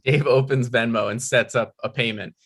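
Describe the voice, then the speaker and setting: Male stage-direction reader, forward bright tone, average pitch, scripted narration. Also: steady tone, normal speed, slightly rough. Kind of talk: reading screenplay directions aloud.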